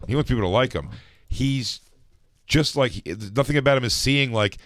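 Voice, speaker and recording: Comedy host dude laughing, acting out bits, dry studio mic. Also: male voice, mid-range voice, normal speed, a bit bright, dry recording. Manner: laughs a lot, acts out other people. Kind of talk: casual comedy chat.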